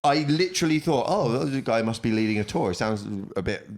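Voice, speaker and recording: A young man co-hosting a podcast, talking loudly and telling stories in casual chat with plenty of swearing. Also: mid-range voice, fairly quick. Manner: loud, lively storytelling with swearing. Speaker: podcast co-host.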